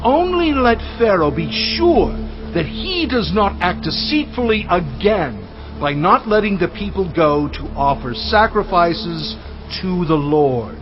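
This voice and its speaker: Moses in an audio drama, an earnest higher voice that rises and falls with feeling. He sounds distant and a little dull, with music playing underneath. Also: sing-song, unhurried. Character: Moses, an earnest pleading man.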